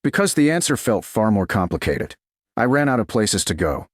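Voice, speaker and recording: A man voicing a calm, resolute and gently wry servant woman in an audiobook romance, speaking in a measured, reasonable way. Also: lowish voice, no room sound, clean studio sound. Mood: hesitant, confessing.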